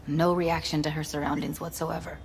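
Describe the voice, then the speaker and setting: A woman's voice: sits low, level tone, brisk. An actress voicing a jaded, frank, protective young woman tech expert in a video game, with some noise behind her. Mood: bitter, subdued. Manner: frank, weary, informal.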